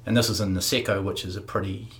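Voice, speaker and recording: A man's voice: sits low, steady tone, quick pace, a bit bright. A man hosting an interview, chatting in a laid-back, casual way with everyday slang. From New Zealand. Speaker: podcast host.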